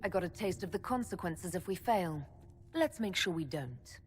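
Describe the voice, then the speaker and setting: An actress playing a resolute young female hero and leader in a video game, speaking in a firm, measured way. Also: lowish voice, lively intonation, long reverb tail, slight background noise, natural voice. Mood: grave. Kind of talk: video game cutscene acting.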